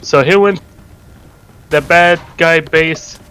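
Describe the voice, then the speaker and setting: A man reading a story aloud in a halting, deliberate way, with casual asides and an amused manner. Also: fairly high pitch, pushed and bright, slight background noise, rough recording. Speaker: reader of fan fiction.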